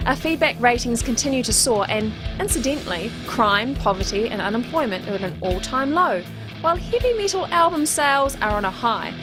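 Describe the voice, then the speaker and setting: A woman's voice: a little high, lively intonation, over music, natural voice. A woman playing a composed, efficient office colleague in a comedy audio drama, speaking in a businesslike way. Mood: composed, upbeat. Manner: businesslike, measured.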